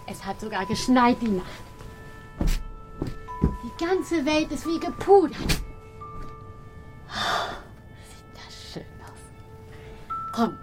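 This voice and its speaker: An actress playing a cheerful motherly woman who coaxes in a children's audio drama. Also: a little high. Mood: gently coaxing.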